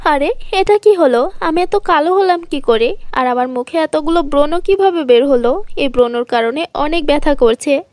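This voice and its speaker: A woman's voice: sits high, moderate pace, few pauses, darkish tone, no room sound, lightly acted. A woman voicing a vain, spiteful young woman in a cartoon fairy tale, exclaiming and complaining. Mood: distressed.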